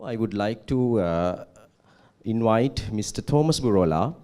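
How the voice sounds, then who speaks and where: A man introducing the next speaker at a workshop in a formal, measured way. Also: on the low side, expressive, mellow. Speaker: master of ceremonies.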